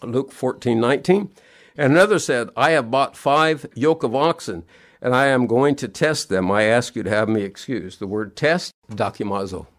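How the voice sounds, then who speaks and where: A man's voice: medium pitch, animated, moderate pace. A middle-aged man preaching a sermon, sounding nasal and sniffly from allergies, earnest and repeating his key words in plain homespun phrasing.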